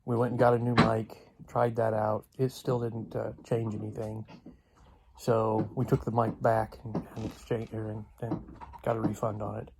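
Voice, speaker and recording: A man vlogs off the cuff in a monotone with a gravelly edge. He speaks haltingly and hesitantly, pausing often as he casually explains. The home-made recording has clear noise behind him. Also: on the low side. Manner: halting, hesitant delivery with long pauses. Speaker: vlogger. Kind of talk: casual unscripted vlog talk.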